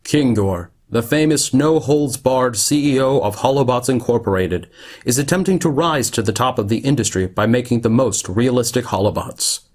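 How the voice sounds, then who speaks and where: A man narrating a futuristic radio play as a solemn, measured, dramatic storyteller. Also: medium pitch, a little mellow, natural voice.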